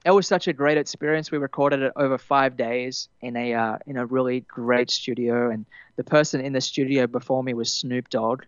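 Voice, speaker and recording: A young man with an Australian accent softened by years in America, chatting loosely in an interview with plenty of fillers and restarts. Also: mid-range voice, fairly even intonation, moderate pace, hardly any pauses, clean tone, dry recording, lo-fi sound. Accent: Australian, partly Americanized. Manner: rambling, many fillers and restarts, laughs easily. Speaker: musician guest. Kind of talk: casual interview chat.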